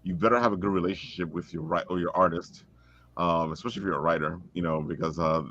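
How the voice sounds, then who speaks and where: A man with a deep voice chatting casually as a panelist. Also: steady tone, quick pace, fairly bright tone, no echo, lo-fi sound. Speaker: voice-over performer on a discussion panel. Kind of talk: casual chat.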